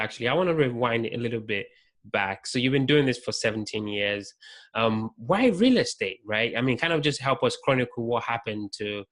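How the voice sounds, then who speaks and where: A man with a light, bright voice hosts a casual interview. He asks friendly questions with frequent fillers, and his voice sits at an ordinary pitch. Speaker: podcast host.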